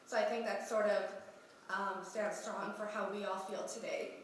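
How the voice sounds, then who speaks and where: A young woman with a cold gives a talk at a conference, pausing on frequent ums and clearing her throat. She is heard from far off in a very echoey hall, with a faint hum of the room behind her. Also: mid-range voice, level tone. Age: young adult. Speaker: conference presenter. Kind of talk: research presentation at a youth congress.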